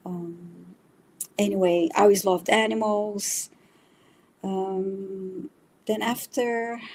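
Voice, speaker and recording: Middle-aged woman, Brazilian Portuguese accent, lowish pitch, hesitant relaxed guest talk. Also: rough recording. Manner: hesitant, many fillers and restarts. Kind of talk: casual interview chat.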